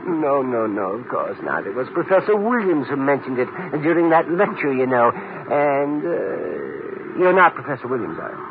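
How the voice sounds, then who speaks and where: A man's voice: medium pitch, very animated, moderate pace, few pauses. A man playing an absent-minded, apologetic botany professor in 1950s radio drama, fussy and rambling, on a muffled, noisy old broadcast. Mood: dithering, polite. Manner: fussy, rambling, repeating himself, trailing off.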